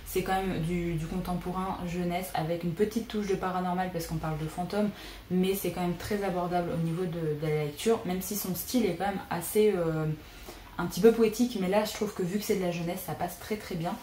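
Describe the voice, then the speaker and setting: A woman's voice: on the low side, fairly even intonation, fairly quick, dark tone. A young adult woman chatting casually about books she wants to read, with many hesitant fillers. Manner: chatty, frequent "euh" fillers. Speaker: booktuber. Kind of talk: casual book wishlist vlog. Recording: echoey room with faint noise.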